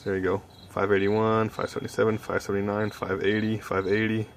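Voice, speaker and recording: A young man giving a casual project walkthrough in a low-key, hesitant way, thinking aloud as he goes. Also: flat delivery, brisk, a little hiss or hum. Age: young adult. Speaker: hobby electronics vlogger. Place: small cluttered home workbench indoors. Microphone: handheld phone camera.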